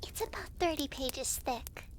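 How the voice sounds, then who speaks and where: A young devil girl who works as hell's clerk, acted by a woman in an ASMR roleplay. Her voice is extremely high and lively in pitch, with a breezy, teasing, giggly manner. There is a faint noise behind her. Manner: giggly, breezy, teasing, halting when flustered. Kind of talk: ASMR roleplay, talking to the listener.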